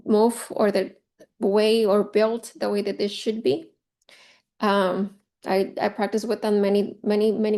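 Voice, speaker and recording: A woman's voice: mid-range voice, a little mellow. Woman trainer with a Spanish accent. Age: 40s. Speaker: teacher trainer leading a professional development session. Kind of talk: informal presentation to teachers.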